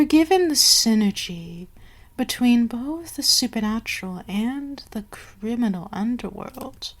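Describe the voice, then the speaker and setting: A woman playing a commanding vampire queen in an ASMR audio roleplay, speaking in a measured, formal way with soft whispery lapses. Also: a little high. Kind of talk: slow, breathy ASMR roleplay monologue.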